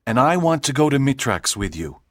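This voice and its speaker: A calm, earnest schoolboy with a slightly lowered voice, as a woman reader plays him. His storybook lines move lively in pitch, recorded close and dry. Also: a little fast, no room sound, heavily acted.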